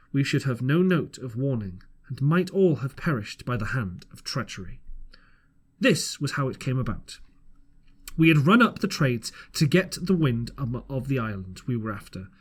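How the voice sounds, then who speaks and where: A man's voice: mid-range voice, husky. A man narrating an audiobook as an amateur reader, earnest, with the odd stumble over the text. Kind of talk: scripted storybook narration.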